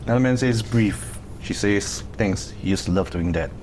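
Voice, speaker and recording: A man's voice: lowish voice, normal speed, darkish tone, slight background noise. A man at a studio table telling jokes in an easygoing way. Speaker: game contestant. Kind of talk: joke-telling game banter.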